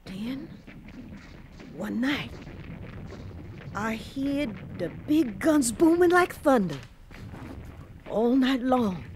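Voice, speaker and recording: A woman's voice: a little high, very animated, drawn-out words, long pauses, a little mellow, slight background noise, exaggerated voice. A woman acting a weary, devout old formerly enslaved grandmother in a radio drama, with a Southern US accent and solemn, emotive storytelling. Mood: solemn, wondering.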